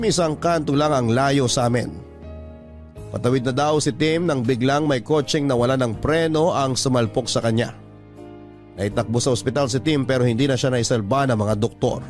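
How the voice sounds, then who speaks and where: A man narrating a heartfelt first-person letter in the style of a classic radio program, measured and emotional. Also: mid-range voice.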